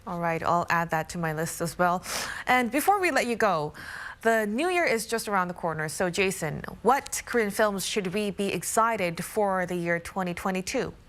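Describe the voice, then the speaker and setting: A woman's voice: medium pitch, expressive, moderate pace, a bit bright. A woman hosting a news program, asking guests upbeat, friendly questions. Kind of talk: interview moderating.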